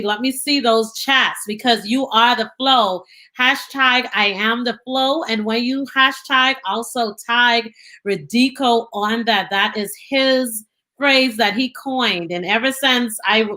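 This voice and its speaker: A woman's voice: a little high, a little slow, pushed and bright. A woman hosting a live broadcast, upbeat and addressing the audience directly. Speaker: host. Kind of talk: casual live broadcast chat.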